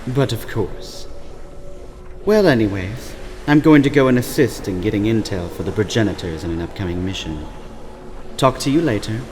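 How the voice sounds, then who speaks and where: A man's voice: lowish voice, animated, moderate pace, close to an everyday voice. A man playing a callous, sly vampire operative in a fantasy audio drama, talking conversationally. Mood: businesslike. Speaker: voice actor. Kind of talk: scripted fantasy character dialogue. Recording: clearly noisy background hum.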